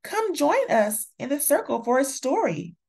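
A woman's voice: high-pitched, very animated, measured pace, gravelly, darkish tone, voice pushed up, full character voice. A woman voicing a kind, encouraging female primary school teacher in a storybook, announcing and reassuring.

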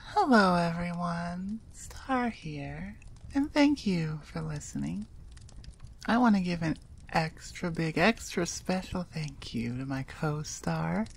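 A woman's voice: lowish voice, lively intonation, takes it slowly, gravelly, husky. A woman chatting informally to her listeners as an audio creator, candid and rambling. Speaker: ASMR voice actor and channel creator. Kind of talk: casual outro chat to listeners.

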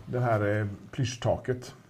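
Husky-voiced man, casual auction chat, meandering delivery. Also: lowish voice, little pitch movement, a little mellow. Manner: chatty, rambling with restarts and fillers. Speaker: auction specialist presenting a car.

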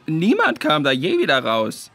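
Bright, high-pitched chatty puffin. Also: male voice, wildly swooping pitch, slow, heavily acted. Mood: blunt, matter-of-fact. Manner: clipped sentences without subjects, blunt. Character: chatty, easygoing male puffin bird named Björn.

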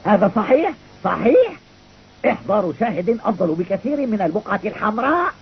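A woman voicing a cheeky, scheming small animal in a dubbed cartoon, with a creaky, squeezed voice. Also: medium pitch, very animated, brisk, full character voice. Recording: muffled old cartoon dub, faint noise.

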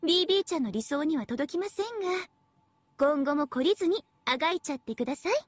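A young female AI devil girl for an anime game. The voice is very high, sweet and girlish, with a dry studio sound. She is smug and playful, talking down to people with mock politeness. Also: expressive, fast, no room sound. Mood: condescending. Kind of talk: Japanese anime game voice acting.

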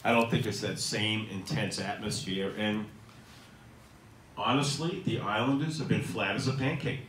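A man's voice: lowish voice, normal speed, fairly bright tone, recorded from a distance, slight background noise. A middle-aged man hosting a casual sports talk show, making his points with punchy emphasis into a handheld mic in a small restaurant. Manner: emphatic, punchy delivery with rising stresses. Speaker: host. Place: small restaurant dining room, seated at a table. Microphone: handheld microphone. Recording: echoey room, a bit off mic.

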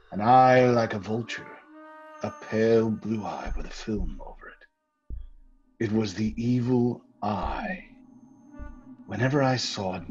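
A man's voice: lowish voice, a little mellow. A man playing a nervous, obsessive murderer confessing in an old-time radio horror style, intense and lingering over words. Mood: agitated. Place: indoor home room, group video call. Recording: dull sound, music underneath.

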